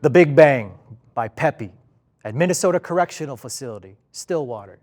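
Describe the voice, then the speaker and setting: A man giving an expressive recitation of poetry as a performer. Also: medium pitch, normal speed. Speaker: performer reading poetry aloud. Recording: music faintly behind the voice.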